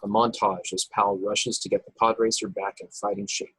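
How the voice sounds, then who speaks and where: A man narrates the stage directions of a script at a live table read. His voice is fairly low and husky. The sound is close and dry, with no room echo. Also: flat delivery, normal speed, a bit bright. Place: remote video call, dry sound.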